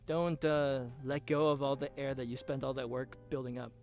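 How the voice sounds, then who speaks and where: A man with a mid-range voice co-hosts a livestream about singing. He speaks casually and warmly engaged, relaying viewer questions and adding his own experience. Faint music sits behind his voice. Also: medium pitch, steady tone, moderate pace. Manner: casual, reads out viewer questions, eager reactions. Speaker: co-host.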